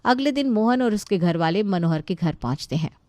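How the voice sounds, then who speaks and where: A woman's voice: mid-range voice, animated, a little fast, warm tone, no echo. A woman narrating a scripted Hindi story as the storyteller.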